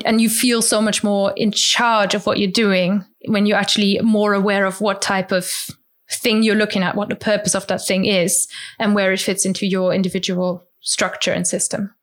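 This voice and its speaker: A woman from Germany, a guest in an interview, explaining her study habits in a chatty way with many restarts, sometimes acting out quotes in a higher voice. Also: little pitch movement, moderate pace. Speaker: interview guest, language learner. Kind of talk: casual conversational explanation.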